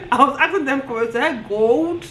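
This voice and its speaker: A woman with a Nigerian accent telling a story on her vlog in an animated way, often breaking into laughter. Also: high-pitched, moderate pace, slight background noise. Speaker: vlogger. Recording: roomy echo, faint ambience.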